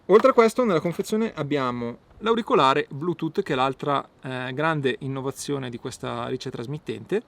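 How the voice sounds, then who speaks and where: A man's voice: mid-range voice, vocal fry. Man explaining a product step by step. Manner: explanatory, step-by-step, with hesitant pauses. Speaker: product reviewer. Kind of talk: informal product review to camera.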